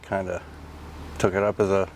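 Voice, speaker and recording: A man's voice: low voice, little pitch movement, moderate pace. A middle-aged man from Nova Scotia, a guest in a casual interview, who gives short, clipped answers. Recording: faint background noise.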